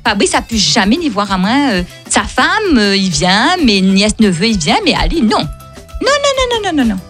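A woman from Réunion speaks French with a Réunionese accent, Creole being her first language. She tells stories in a lively, repetitive way, laughing often, with faint music behind her. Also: medium pitch, moderate pace. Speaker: comedian and guest. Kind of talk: casual storytelling interview.

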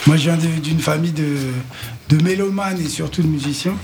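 A man's voice: on the high side, steady tone, fairly quick, noisy background. A man, a reggae musician, chatting casually in an interview, friendly and teasing.

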